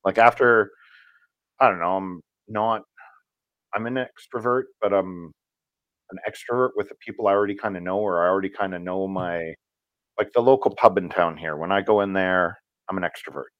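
A man who works as a voice actor, talking casually in an interview and telling stories in a relaxed, rambling way with fillers. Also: sits low, normal speed, no echo, muffled recording. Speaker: voice actor guest.

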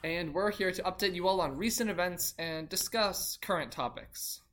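Someone doing an eager colonist guy hosting, cracks puns, kinda awkward, middle pitch. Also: moderate pace, a bit bright, lightly acted. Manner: earnest, slightly awkward, laughs at own jokes. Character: earnest young male French colonist who makes puns. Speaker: podcast host. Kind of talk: amateur scripted roleplay.

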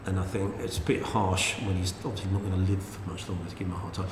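A man's voice: fast, noisy background. A middle-aged man, co-hosting a casual chat and telling anecdotes. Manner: chatty anecdotal storyteller, lively swings in pitch. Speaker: podcast co-host. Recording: echoey room with long reverb.

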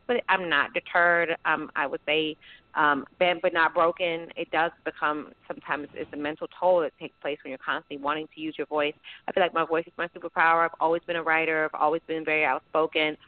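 A woman's voice: low voice, fairly even intonation, fairly quick, no room sound. A woman from the United Kingdom, raised in Florida, speaking earnestly and outspokenly as a guest on a call-in show, often restarting her sentences. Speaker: guest, educator and writer. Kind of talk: call-in radio interview. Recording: tinny phone line.

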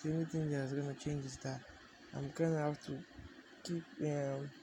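A man's voice: a little high, flat delivery, normal speed, background noise. A young man keeping a casual, unscripted audio diary, rambling and repeating himself as he thinks aloud. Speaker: audio diarist.

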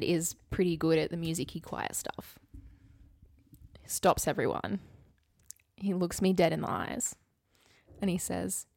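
A woman of about thirty tells stories casually as a podcast co-host. Her voice sits a little low and often drops into vocal fry, and the sound is close and dry. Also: darkish tone, no room sound. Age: late 20s to early 30s. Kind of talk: casual chatty storytelling.

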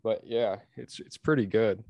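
A man's voice: lowish voice, gravelly, dark tone, dry recording. Easygoing young man hosting a sports podcast. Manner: easygoing, halting with fillers and restarts. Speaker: podcast co-host. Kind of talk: casual sports podcast chat.

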